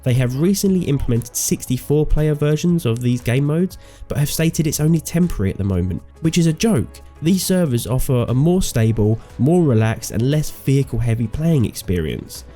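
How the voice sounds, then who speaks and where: A man's voice: medium pitch, expressive, normal speed, hardly any pauses, mellow, faint music behind. A man with a cold and a slightly nasal voice, reading a scripted, opinionated game review as host. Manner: opinionated, sardonic. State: sick, nasal voice. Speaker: gaming video host.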